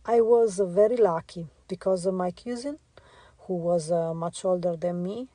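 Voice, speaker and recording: A woman with an Italian accent, a visual artist answering interview questions in a halting way with many filler sounds. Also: on the low side, mellow, dry recording. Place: radio studio.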